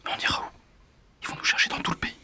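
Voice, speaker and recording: An actor playing a doubtful, anxious eighteen-year-old prisoner, a younger brother, in hushed, worried tones in an audio drama. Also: male voice, very fast, whispered, close to an everyday voice.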